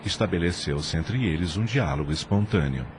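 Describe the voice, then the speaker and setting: Very deep-voiced Brazilian male narrator. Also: expressive, noisy background. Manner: measured, formal. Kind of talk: scripted audio drama narration.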